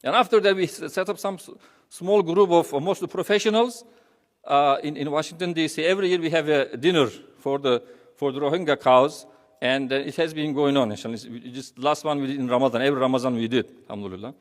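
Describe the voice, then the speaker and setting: A man with a Turkish accent gives an informal talk in a hall with long reverb. His voice sits a little high, and he speaks haltingly, circling back and repeating phrases as he goes. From Turkey. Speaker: humanitarian charity representative speaking. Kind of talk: informal public speech.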